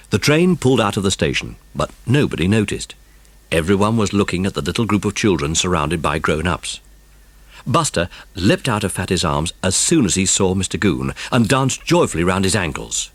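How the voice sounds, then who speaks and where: A man's voice: deep voice, no echo, heavily acted. A man narrating a dramatised children's storybook. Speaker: audiobook narrator.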